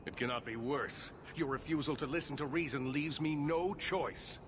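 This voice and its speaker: An actor playing an indignant, impassioned leader of mages, speaking formally and urgently in a declamatory fantasy game style. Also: male voice, a little high, moderate pace, noisy background, lightly acted. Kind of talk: dramatic fantasy video game acting.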